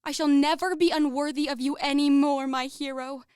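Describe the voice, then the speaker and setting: Proud young romantic heiress female actor, high voice, dry close sound, lofty theatrical delivery for radio drama. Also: steady tone, moderate pace, darkish tone, dry recording, natural voice. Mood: rapturous. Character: romantic, proud young lady of a wealthy house. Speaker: actor in a student radio play. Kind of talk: radio play acting.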